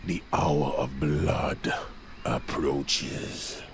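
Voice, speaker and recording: An actor playing a scheming, zealous troll prophet in a video game cutscene, with theatrical, grandiose delivery. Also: male voice, extremely low pitch, steady tone, background noise, full character voice. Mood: mocking, menacing. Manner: theatrical, grandiose, slow declaiming. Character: scheming male troll prophet, zealous traitor to his king.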